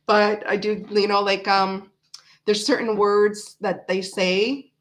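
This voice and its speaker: A woman's voice: mid-range voice, little pitch movement, slow. A woman in her forties, raised in Florida and living in Canada, with a mixed American and Canadian accent, chatting loosely on a livestream and answering comments with lots of fillers and restarts. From Canada, raised in South Florida. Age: 40s. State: tired. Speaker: livestream host. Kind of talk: casual chat reading live comments.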